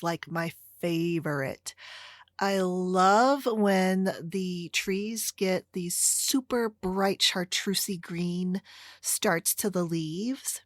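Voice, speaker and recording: American lady chatting on her podcast, kinda rambly, takes her time, mid pitch, no room echo. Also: studio quality. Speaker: podcast host. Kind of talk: casual solo podcast chat.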